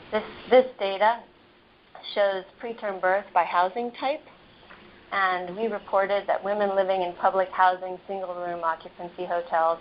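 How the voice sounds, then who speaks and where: A soft-spoken woman with a lowish voice walks a meeting through data slides in a measured, explanatory way, pausing on ums and restarting phrases. She is heard through a phone set on a table, so the sound is muffled and dull, with a faint hiss behind her. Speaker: epidemiologist presenting data. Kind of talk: slide presentation over a phone line.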